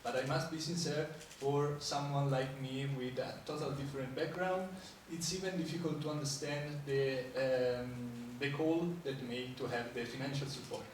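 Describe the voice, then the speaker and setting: A man's voice: medium pitch, steady tone, moderate pace, few pauses, slight background noise. A man from Italy, a panel guest speaking hesitantly and searching for words. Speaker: entrepreneur guest. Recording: echoey hall, voice from a distance.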